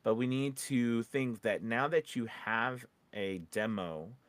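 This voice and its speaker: A man giving casual, conversational business advice in an animated, halting way, often checking in with short tag questions. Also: mid-range voice, dry recording. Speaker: podcast host and voice-over coach.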